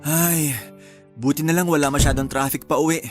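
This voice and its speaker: An actor playing a calm, easygoing young husband in a radio drama, speaking in a reassuring way. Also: male voice, a little high, a little fast, faint music behind, lightly acted. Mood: relieved.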